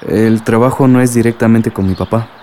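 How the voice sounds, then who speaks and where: An actor playing an easygoing young lawyer and reassuring boyfriend in a radio drama, speaking casually and soothingly. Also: male voice, lowish voice, fairly even intonation, a little fast, background noise, close to an everyday voice.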